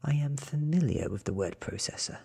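Soft asmr guy whispering a book right into the mic, really breathy and crackly. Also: medium pitch, expressive, fairly quick. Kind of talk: soft-spoken ASMR story reading. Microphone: close studio microphone.

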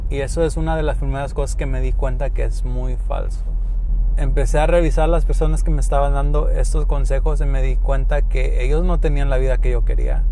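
Young male podcast host, earnest motivational chat, dashboard camera in a moving car with clear road noise. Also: mid-range voice, warm tone, rough recording. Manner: earnest, conversational, mixes English words into Spanish. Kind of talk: casual motivational monologue. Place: inside a car while driving.

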